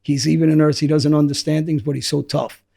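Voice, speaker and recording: A middle-aged man with a hoarse, gravelly voice, telling his story as an interview guest in a rambling way that often restarts. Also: a little high, level tone, a little fast, dark tone. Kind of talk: candid storytelling.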